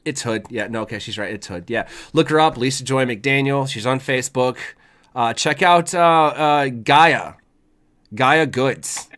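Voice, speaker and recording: A man with a slightly hoarse voice hosting a casual chat show, rambling in a laid-back, slangy and sometimes profane way. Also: mid-range voice, unhurried, a bit bright. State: hoarse from voice work. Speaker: show host.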